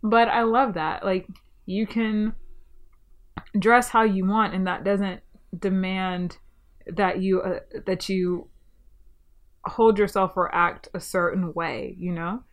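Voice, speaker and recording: A woman's voice: mid-range voice, steady tone, slow, pauses often. A young woman hosting a solo podcast review, talking in an enthusiastic, chatty way with frequent ums, her voice a little stuffy from a cold. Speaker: podcast host.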